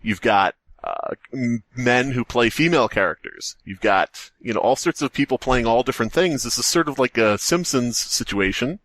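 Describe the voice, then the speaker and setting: A man's voice: medium pitch, normal speed, hardly any pauses, fairly bright tone, no room sound. A man co-hosting a podcast, chatting casually as a rambling, sardonic storyteller who likes to joke. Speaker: podcast co-host. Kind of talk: casual comedic podcast chat.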